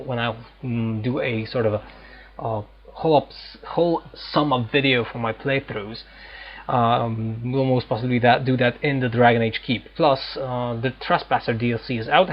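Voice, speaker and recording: A man giving casual, unscripted gameplay commentary, explaining things haltingly as he searches for words. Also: medium pitch. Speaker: gamer hosting a playthrough. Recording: dull lo-fi mic, faint noise.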